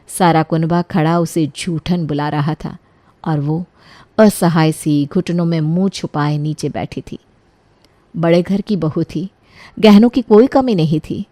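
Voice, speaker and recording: A woman's voice: on the low side, a little mellow, no echo. A woman giving scripted, dramatic narration of a story.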